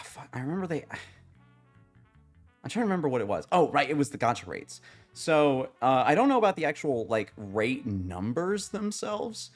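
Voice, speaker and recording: A young man streaming a game, chatting casually with viewers in a rambling, halting way, his voice slightly raspy. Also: medium pitch. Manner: rambling, halting, many restarts and fillers, swears casually.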